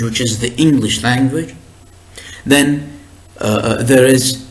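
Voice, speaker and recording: A man whose first language is Arabic, giving a recorded lecture in a deliberate, explanatory way with frequent hesitations and restarts. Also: medium pitch.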